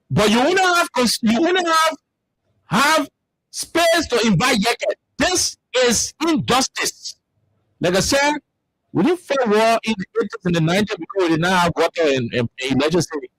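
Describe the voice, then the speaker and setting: A Liberian man hosting a radio talk show, with a notably high voice and a Liberian English accent. He talks emphatically and stumbles through repeated words as he builds his argument. The studio sound is dry and close. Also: fairly bright tone, no room sound, low-quality recording. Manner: emphatic, repeats words while searching for them. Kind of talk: heated political commentary.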